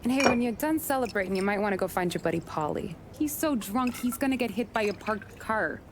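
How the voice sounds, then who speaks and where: A woman's voice: mid-range voice, lively intonation, fairly quick, natural voice. Woman as a sassy, teasing young woman, noisy background. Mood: playful, teasing. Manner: teasing, wry. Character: sassy young working-class woman, teasing, warm-hearted. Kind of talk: dramatic video game acting.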